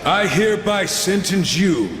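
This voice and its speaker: A man's voice: high-pitched, lively intonation, unhurried, reverberant, long reverb tail, very noisy. A man playing a supernatural horseman in a video game cutscene, declaiming formally like a judge passing sentence. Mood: solemn, commanding.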